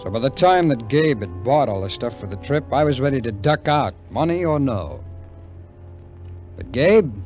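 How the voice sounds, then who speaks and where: A male actor plays a wry, wary American drifter in a 1950s radio drama. He speaks hesitantly and colloquially, with lively swings of pitch. The old recording is dull, with music clearly behind him. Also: moderate pace, close to an everyday voice. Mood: wry, rueful. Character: wry, wary drifter war veteran, man telling his tale. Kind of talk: 1950s radio drama acting and first-person narration.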